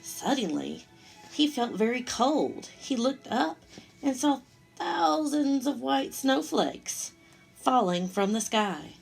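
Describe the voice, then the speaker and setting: A woman reading a picture book aloud to young children, with expressive, simple asides as she goes. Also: sits high, slow pace, vocal fry, slightly rough, faint music behind, rough recording. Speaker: storytime reader for young children.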